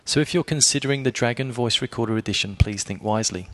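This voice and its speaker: A man reading a scripted explainer review as a blogger, in a measured way, speaking directly to the listener. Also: medium pitch, fairly bright tone. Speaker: blogger presenting a product review.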